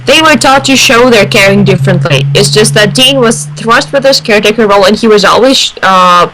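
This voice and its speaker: A woman's voice: mid-range voice, a little fast, noisy background. A woman in a casual fan discussion, analysing aloud and searching for her words. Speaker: fan radio panelist.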